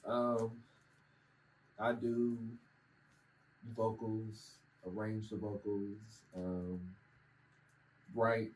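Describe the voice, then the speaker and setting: A man's voice: fairly low pitch, drawn-out words, long pauses, a little hiss or hum. A man tells stories in a casual interview, acting out the voices in them. He sits somewhat far from the mic, and a faint ambience hangs under his voice. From Kentucky. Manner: animated storyteller, acts out quoted lines. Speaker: musician guest. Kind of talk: casual storytelling.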